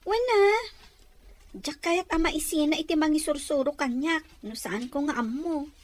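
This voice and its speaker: A woman's voice: sits high, moderate pace, lightly acted. A woman with an Ilocano Filipino accent voicing a girlish young student and close companion in a radio drama. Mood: friendly.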